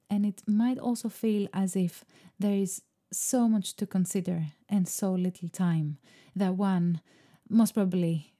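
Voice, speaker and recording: A woman whose first language is Greek, speaking in a slow, meditative, musing way about creativity. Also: on the low side, mellow. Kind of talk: reflective spoken monologue.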